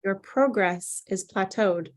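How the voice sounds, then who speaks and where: A woman playing a composed, probing psychiatrist in a screenplay table read, speaking in a deliberate, clinical way. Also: mid-range voice, natural voice. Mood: calm, authoritative. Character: composed, probing female psychiatrist, coolly authoritative.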